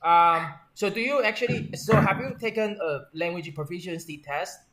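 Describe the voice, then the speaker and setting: Higher-voiced man asking halting questions. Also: a loud crowd, low-quality recording. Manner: halting, restarts and rephrases questions. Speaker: interviewer. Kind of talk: structured interview questions.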